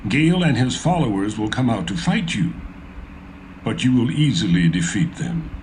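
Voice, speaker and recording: Zebul, a lively scornful ruler. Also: male voice, fairly low pitch, normal speed, slight background noise, low-quality recording. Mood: scheming. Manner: mocking, scornful. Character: scheming town ruler Zebul, adult man.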